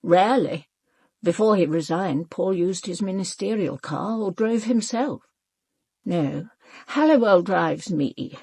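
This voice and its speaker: A woman's voice: lowish voice, animated, slow pace, darkish tone, husky, no room sound, exaggerated voice. An actress playing a proud, elderly upper-class British mother in a radio drama, speaking formally and precisely with controlled, cool dismissiveness. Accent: upper-class British English. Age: older. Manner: formal, clipped, haughty, precise.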